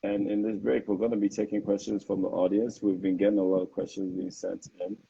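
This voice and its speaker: A man's voice: on the low side, flat delivery, a little fast, creaky, warm tone, breathy edge. A man with a Nigerian accent hosting a casual live interview, hesitant, with many fillers and restarts. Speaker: interviewer and live stream host. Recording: dull lo-fi livestream audio.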